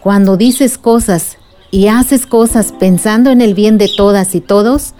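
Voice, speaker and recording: A woman's voice: medium pitch, measured pace, dark tone, faint music behind, close to an everyday voice. A woman playing a reflective older storyteller recounting village memories in a radio drama. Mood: reflective, glad.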